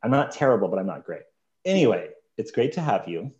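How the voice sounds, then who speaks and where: A man hosting a podcast interview, relaxed and self-deprecating, laughing often. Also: on the low side, fast talker, roomy sound, muffled recording. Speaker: podcast host. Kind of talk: casual interview chat.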